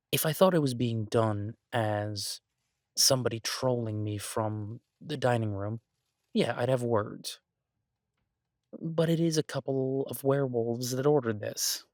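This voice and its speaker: A man's voice: medium pitch, dry recording, clean studio sound, lightly acted. Exasperated but affectionate boyfriend chef, acted by a man. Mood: exasperated, bemused. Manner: ranting, incredulous, emphatic with repeated words. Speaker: audio roleplay performer. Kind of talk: boyfriend roleplay audio, conversational monologue to a listener.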